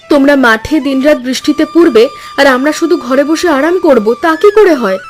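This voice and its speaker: A woman's voice: high-pitched, lively intonation, brisk, soft background music. A woman voicing a devoted, hopeful village wife in a Bengali cartoon story, earnest and encouraging. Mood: earnest, reassuring. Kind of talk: Bengali animated moral story dialogue.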